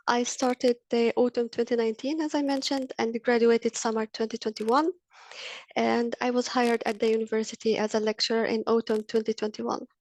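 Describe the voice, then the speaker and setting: A woman's voice: on the high side, darkish tone, no echo. A woman in her thirties from Egypt, a university lecturer, giving an earnest webinar presentation with frequent ums and uhs. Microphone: video call.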